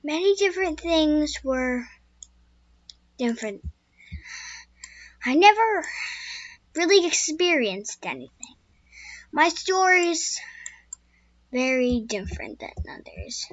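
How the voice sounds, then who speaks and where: A woman voicing a young wild male horse, a skittish loner, telling his own story in first person with a squeaky voice. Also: big pitch swings, slow pace, long pauses, dry recording, highs cut off, heavily acted. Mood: wistful. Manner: first-person storytelling with restarts, turning frantic when scared. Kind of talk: amateur spoken story.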